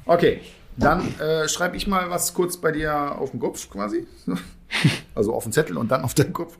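A man explaining the rules of a guessing game, then playing it in relaxed, joking chat, laughing often. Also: fairly high pitch, moderate pace, vocal fry.

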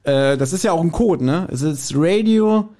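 A man's voice: a little high, lively intonation, moderate pace, dark tone. A man chatting casually as a podcast co-host, retelling an audio drama and slipping into acted quotes. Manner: acts out quoted lines while retelling. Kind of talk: casual chat recapping an audio drama episode.

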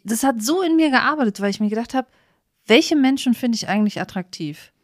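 A woman's voice: fairly high pitch, lively intonation, brisk, no room sound, clean studio sound. A woman co-hosting a podcast, chatting casually and reflectively in an emphatic, candid and self-deprecating way. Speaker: podcast co-host.

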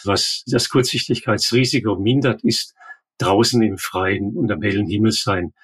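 A man's voice: fairly low pitch, moderate pace, breathy edge. An older man, an eye doctor, explaining matter-of-factly in an interview. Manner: calm, explanatory, matter-of-fact. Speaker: ophthalmologist guest. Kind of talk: expert interview.

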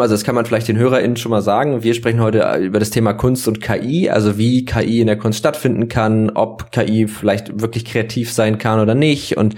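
A man's voice: mid-range voice, a little mellow. A man from Germany, hosting a podcast in an enthusiastic, chatty way with lots of fillers. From northern Germany. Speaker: podcast host. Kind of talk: casual podcast chat.

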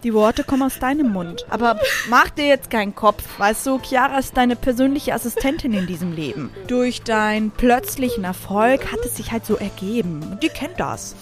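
A woman's voice: fairly high pitch, expressive, moderate pace, few pauses, over music, close to an everyday voice. A woman playing a breezy angel who grants a twisted wish in an amateur audio drama. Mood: breezy, matter-of-fact.

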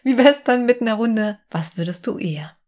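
A woman's voice: a little high, lively intonation, normal speed, a little mellow. A young woman co-hosting a casual podcast, giggly and teasing, sometimes playfully formal. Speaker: podcast co-host. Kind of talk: casual chat.

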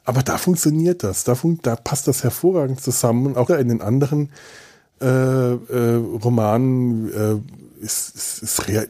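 A man speaking casually as a podcast host, thinking aloud in a halting way and repeating words as he searches. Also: mid-range voice, warm tone.

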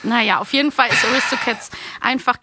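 A woman's voice: fairly high pitch, a little fast, heavy background noise. A woman chatting casually as a podcast co-host, with a gushing, slangy, enthusiastic way of talking. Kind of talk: casual chat.

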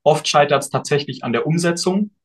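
Young man, podcast guest, laid-back, unhurried, lots of ums and hedges. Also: on the high side, husky. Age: young adult. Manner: relaxed, thoughtful, many fillers and hedges. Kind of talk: casual podcast interview.

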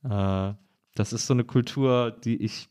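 Male conversation partner, mid pitch, eager, hesitant fillers, dry sound. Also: measured pace, darkish tone, clean tone, dry recording. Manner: enthusiastic, agreeing, with hesitant fillers. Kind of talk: casual chat.

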